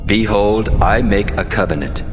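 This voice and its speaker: A man's voice: lowish voice, moderate pace, natural voice. A man playing God as a grave, commanding deity in a dramatized scripture reading. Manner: grave, declamatory. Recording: long cavernous reverb over loud music.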